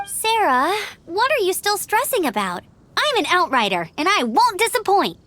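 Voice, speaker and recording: Perky young female scout, very high, bright voice, eager, confident, video game acting. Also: huge pitch swings, normal speed, heavily acted.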